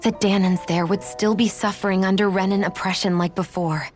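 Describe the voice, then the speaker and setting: An actress as an earnest, direct young heroine. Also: female voice, mid-range voice, fairly even intonation, brisk, soft background music, close to an everyday voice. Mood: softly earnest. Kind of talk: dramatic video game voice acting.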